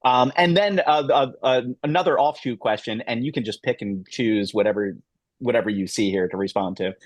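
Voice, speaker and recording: A man's voice: medium pitch, brisk, no room sound. Man moderator, hesitant and rambling, dry close laptop mic. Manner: rambling, hesitant, many fillers and restarts. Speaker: panel moderator. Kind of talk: video call panel discussion. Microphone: laptop mic on video call.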